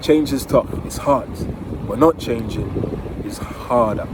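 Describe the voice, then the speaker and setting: Jamaican man talking through loud wind noise. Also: medium pitch, measured pace. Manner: earnest, emphatic, repeats key phrases. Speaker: motivational vlogger. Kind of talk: informal motivational talk to camera. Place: outdoors near home by the water. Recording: very noisy outdoors, wind on the microphone.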